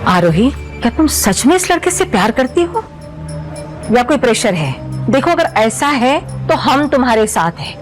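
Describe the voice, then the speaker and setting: A woman's voice: on the high side, sing-song, moderate pace, soft background music. An actress playing a stern but caring woman judge, questioning in an audio drama. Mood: probing, concerned. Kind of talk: Hindi audio drama acting.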